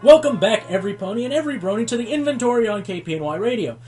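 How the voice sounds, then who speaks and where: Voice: mid-range voice, lively intonation, rapid-fire, warm tone, faint music behind, close to an everyday voice. An actor playing a showy, easily exasperated pony who hosts a radio show in a radio play. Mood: upbeat, showy.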